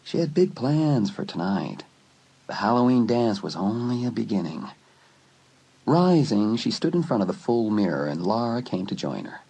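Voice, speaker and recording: A man narrating a dramatised teen horror story in an expressive, dramatic storytelling manner. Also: fairly low pitch, moderate pace, creaky, husky, low-quality recording. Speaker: audiobook narrator.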